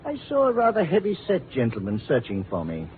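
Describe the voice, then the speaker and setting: Gloomy, florid, swooping theatrical musician. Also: male voice, mid-range voice, huge pitch swings, fairly quick. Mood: mocking, courtly. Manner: flowery, pompous, poetic diction. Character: gloomy, florid, theatrical male accordion player, death-welcoming murder suspect. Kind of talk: 1950s radio drama acting. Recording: tinny, faintly noisy 1950 radio broadcast.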